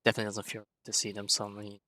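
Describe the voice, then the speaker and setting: A man's voice: lowish voice, monotone, racing, bright, forceful tone. A man giving casual, musing, tentative play-along commentary as a game streamer.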